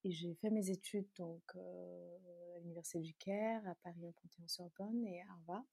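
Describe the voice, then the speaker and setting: Woman, soft dark voice, explanatory. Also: fairly low pitch, fairly even intonation, normal speed, creaky, husky, no echo. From Chad. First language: Tazaga. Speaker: interview guest, beauty brand founder. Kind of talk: conversational interview answers.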